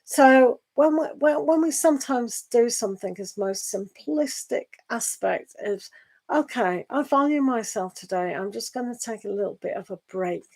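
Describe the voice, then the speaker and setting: A woman giving a live online tarot reading and losing her voice. She talks in a meandering, gentle way and reads the card texts aloud. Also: fairly high pitch, lively intonation, normal speed. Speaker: tarot reader.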